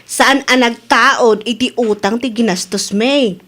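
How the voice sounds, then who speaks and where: A high-pitched, chatty Ilocano village woman played by an actress in a radio comedy drama. She is frank and teasing with her friends, animated and quick to sound amused, with a Filipino Ilocano accent. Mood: cheerful, frank.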